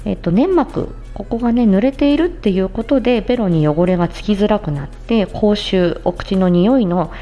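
A woman's voice: mid-range voice, expressive, moderate pace, hardly any pauses, mellow. A woman giving an explanatory health talk in plain, conversational words, like a podcast host addressing listeners. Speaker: podcast host, speech-language therapist.

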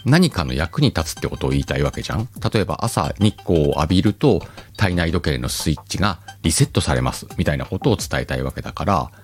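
Deep-voiced man, lively rambling host. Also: faint music behind. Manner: rambling, self-mocking, drawn-out pauses. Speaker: podcast host. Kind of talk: casual solo chat.

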